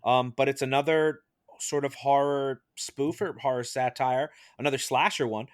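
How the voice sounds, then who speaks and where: A man's voice: medium pitch, steady tone, normal speed, no room sound. Dry-sounding man hosting a casual podcast. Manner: jokey, teasing. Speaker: podcast host. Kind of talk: casual panel chat.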